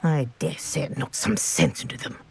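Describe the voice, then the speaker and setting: An actress playing a feisty, indignant sports coach in a video game, formal and sharp-tongued. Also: female voice, deep voice, normal speed, vocal fry, breathy edge, full character voice. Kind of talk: video game character acting.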